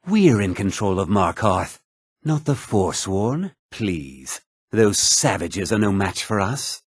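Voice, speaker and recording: An actor playing a haughty, put-upon fantasy townsman in a video game, husky, theatrical and often sarcastic. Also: on the low side, big pitch swings, slow, no echo, clean studio sound, heavily acted. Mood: scornful, irritable. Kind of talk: video game character dialogue.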